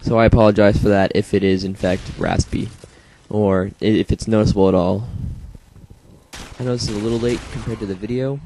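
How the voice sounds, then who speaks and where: A young man narrates his own match in a rambling, offhand way, his voice a little hoarse and congested. His tone is low and mellow. Faint game gunfire sits under the talk. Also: fairly even intonation, fairly quick, slight background noise, poor audio. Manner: rambling, self-deprecating, lots of fillers. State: slightly hoarse from throat congestion. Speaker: gameplay commentator. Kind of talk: casual gameplay commentary.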